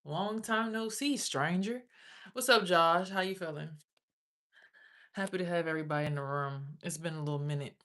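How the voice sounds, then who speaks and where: A woman's voice: fairly low pitch, moderate pace, fairly bright tone. A young woman, chatting loosely on a livestream, rambling about spiritual topics and swearing freely. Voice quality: raspy. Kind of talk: casual livestream chat.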